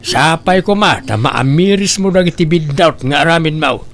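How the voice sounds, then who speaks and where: Lecturer: a man voicing a stern moralizer, pitched high. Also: animated, normal speed, fairly bright tone, slight background noise. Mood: scolding. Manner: scolding, moralizing. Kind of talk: amateur comedy audio drama.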